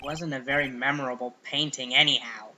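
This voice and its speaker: A man's voice: mid-range voice, steady tone, fairly quick, noisy background, close to an everyday voice. A man voicing an exasperated, determined young defense lawyer in a video game fan dub, with wry asides and emphatic outbursts. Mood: musing, wry.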